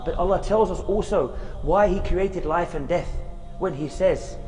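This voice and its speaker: High-voiced man preaching earnestly. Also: a little mellow, in a large hall, soft background music, low-quality recording. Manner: earnest, slow, with Arabic recitation chanted. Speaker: preacher giving a short reminder. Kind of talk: earnest sermon to camera. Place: outdoors in a graveyard.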